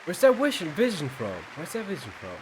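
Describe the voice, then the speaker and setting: A woman's voice: fairly low pitch, sing-song, quick pace, slight background noise, poor audio. A woman giving casual, enthusiastic, exclamatory reaction commentary over a video game. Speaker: YouTube reactor. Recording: game music behind.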